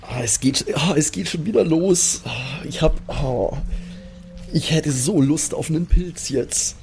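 A man's voice: a little high, a little slow, vocal fry, a little mellow, soft background music. A man playing a whining fantasy adventurer hooked on mushrooms, moaning and hesitant, with faint noise in the recording. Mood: whiny craving.

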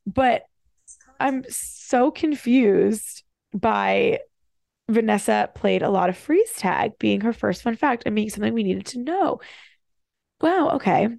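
A woman hosting a solo podcast, talking fast and casually with wry, animated asides. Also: fairly high pitch, vocal fry, no room sound. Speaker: podcast host. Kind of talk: casual solo commentary, reading bios with asides.

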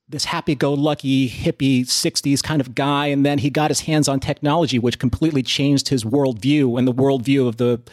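A man's voice: fairly high pitch, dry recording, studio quality. A man giving conversational commentary as a podcast guest host, feeling his way through his phrases.

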